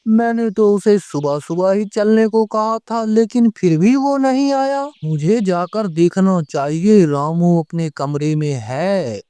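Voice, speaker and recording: A man's voice: high-pitched, takes it slowly, hardly any pauses, dark tone, clear, resonant tone, no echo. A man playing a worried village politician in a horror cartoon, fretting and questioning as he goes. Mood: worried, puzzled. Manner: fretful, muttering questions to himself. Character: worried male village politician searching for missing guest. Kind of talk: Hindi horror cartoon voice acting.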